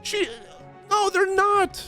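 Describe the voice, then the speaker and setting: A woman streaming a game with casual, expressive, jokey reaction commentary and exclamations. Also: extremely high pitch, slow, faint music behind.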